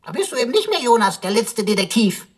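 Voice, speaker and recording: Cheeky, husky, high-pitched robot sidekick. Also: female voice, very animated, fast. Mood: sarcastic, teasing. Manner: flowery mock-deferential phrases mixed with insults. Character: cheeky, mouthy robot sidekick, mock-servile and sarcastic. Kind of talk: radio drama acting.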